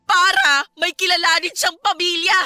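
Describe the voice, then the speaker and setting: A woman's voice: very high voice, fairly even intonation, moderate pace, music in the background. A woman playing a hurt, proud young wife and mother in a Filipino radio drama, emotional and pleading with indignation. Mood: tearful resolve.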